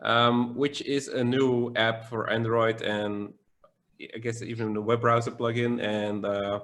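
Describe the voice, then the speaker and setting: A man with a Belgian accent co-hosting a relaxed live talk show. He reads viewer questions from the chat aloud. His voice sits at a middle pitch. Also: measured pace. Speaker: podcast co-host.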